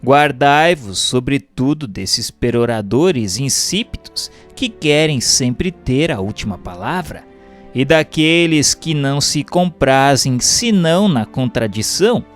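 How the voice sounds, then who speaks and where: Slow, expressive Brazilian male narrator. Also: medium pitch, faint music behind. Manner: measured, formal, didactic. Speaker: audiobook narrator reading a Spiritist book. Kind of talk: scripted narration, formal book reading.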